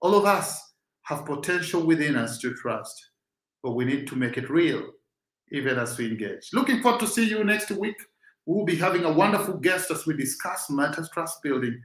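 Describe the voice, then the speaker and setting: A man's voice: on the high side, expressive, moderate pace, fairly bright tone, reverberant. A Kenyan man with a Swahili-speaking background, giving a rousing, preacher-like motivational talk as host.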